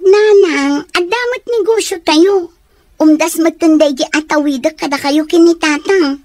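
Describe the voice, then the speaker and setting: Stubborn, complacent daughter for radio drama; high-pitched, recorded dry. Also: female voice, a little slow, mellow, no room sound. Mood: reluctant, stubborn. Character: stubborn, complacent student daughter who dislikes studying.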